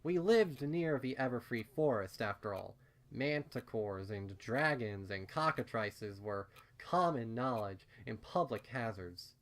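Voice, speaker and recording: A man's voice: medium pitch, animated, measured pace. A man reading fan fiction aloud as a first-person story narration.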